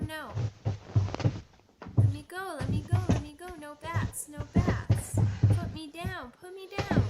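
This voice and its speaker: A woman voicing an excitable, stubborn young werewolf pup in an ASMR roleplay, with childish, halting, repetitive speech. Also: extremely high pitch. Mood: stubborn, protesting. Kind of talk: ASMR character roleplay audio drama.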